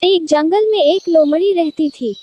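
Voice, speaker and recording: A woman with a high voice narrates a scripted story for children. She speaks as a storyteller presenting a fable. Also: unhurried, mellow, highs cut off, a little hiss or hum.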